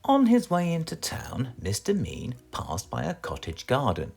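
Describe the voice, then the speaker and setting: A man with a British accent reading a children's storybook aloud in a theatrical, expressive way. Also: medium pitch, measured pace, vocal fry, husky, faint music behind. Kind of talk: animated children's storybook narration.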